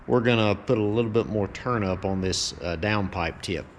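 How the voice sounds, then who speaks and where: Low-voiced man talking shop over background noise. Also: moderate pace. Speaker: host, race car builder. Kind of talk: casual explanatory shop talk. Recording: clear steady background noise, ambient hum.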